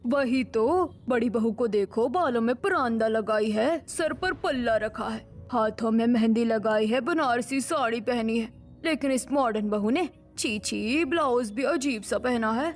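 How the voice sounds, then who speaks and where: A woman plays a scandalized village gossip in an animated story. Her high voice is sneering and judgmental, set over music. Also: unhurried, natural voice. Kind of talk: scripted Hindi animated story dialogue.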